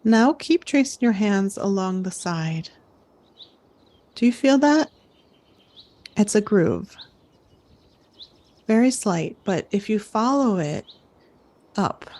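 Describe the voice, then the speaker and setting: Woman, slow pace, mid pitch, guided imagery storytelling, encouraging, instructive camp director. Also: animated, darkish tone. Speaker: host, playing a camp director guiding campers.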